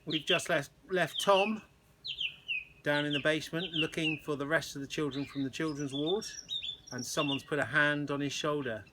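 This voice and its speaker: A man with a slightly high voice narrates a children's storybook like a primary teacher reading to pupils. Birds chirp clearly behind him, making the recording noisy. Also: fairly even intonation, normal speed.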